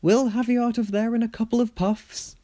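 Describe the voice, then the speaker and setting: Storybook steam engine, male: pompous, sulky, grand, raised pitch; played by a male reader. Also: level tone, moderate pace, dark tone. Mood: gloating. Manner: grand, haughty.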